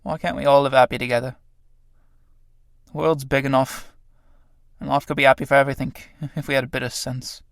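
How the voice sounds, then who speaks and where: A man playing a battered tramp in a stage-play reading, with rough colloquial speech and a pitying, defiant temper. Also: medium pitch, flat delivery, fairly quick, pauses often, dry recording, clean studio sound, close to an everyday voice. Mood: pitying, musing.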